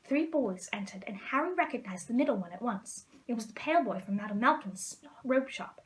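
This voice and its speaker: High-voiced woman reading a story aloud. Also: expressive, a little fast, slight background noise, poor audio. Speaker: storyteller in character as a witch. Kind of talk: storybook read-aloud narration.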